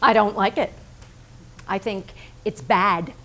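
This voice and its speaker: A woman playing a needling, self-lacerating painter in a theatrical staged reading, deliberate and pointed. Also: medium pitch, fairly even intonation, a bit bright. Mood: controlled, wry.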